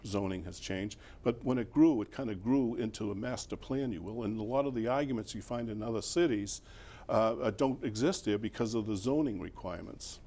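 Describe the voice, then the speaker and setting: An older man with a Boston accent, a former mayor recalling his life in a relaxed, rambling interview with fillers and chuckles. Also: lowish voice, brisk.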